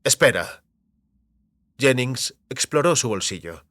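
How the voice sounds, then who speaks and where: A man's voice: medium pitch, expressive, normal speed, a bit bright, no echo, studio quality. A man reading scripted literary narration as the narrator of an audio fiction story.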